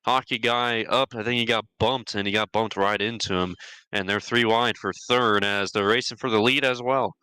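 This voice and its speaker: A man's voice: lowish voice, fairly even intonation, normal speed, pushed and bright, clear, resonant tone, no room sound, rough recording. A man doing analytical color commentary on a sim race, explaining the moves. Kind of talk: sim racing broadcast commentary.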